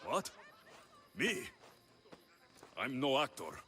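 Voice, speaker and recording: Young mercenary hero, medium pitch, lively inflection, confident, direct, wry, video game dialogue. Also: male voice, extremely slow, full character voice. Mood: bemused, assured. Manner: direct, assured, dryly teasing. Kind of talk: video game cutscene acting.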